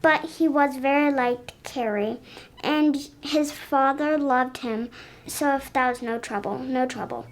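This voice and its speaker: A third-grade child voicing Mrs. Cratchit, a gentle grieving mother, in a school radio play. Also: high-pitched, a little slow. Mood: tender.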